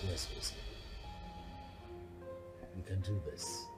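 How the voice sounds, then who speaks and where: A man's voice: slow pace, loud music behind the voice, lightly acted. A man acting as an earnest, lovestruck suitor in a film drama, with a Nigerian accent and a pleading, emphatic way of talking. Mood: insistent, pleading.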